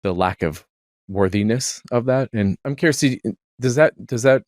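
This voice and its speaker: A man's voice: medium pitch, normal speed, no room sound, clean studio sound. A man hosting a podcast, asking thoughtful questions in a hesitant, searching way. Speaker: podcast host. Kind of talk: conversational interview.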